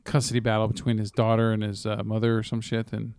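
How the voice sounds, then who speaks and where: A man's voice: on the low side, monotone, fast talker, darkish tone, clear, resonant tone, no room sound, studio quality. A man co-hosting a casual film news chat, talking in an informal, profane, rambling way. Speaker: movie podcast co-host.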